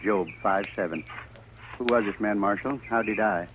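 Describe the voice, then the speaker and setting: Western radio preacher, male actor as a grave scripture-quoting man, lowish voice, noisy vintage recording. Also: moderate pace, natural voice. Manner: declaiming Bible verses. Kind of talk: 1950s radio acting.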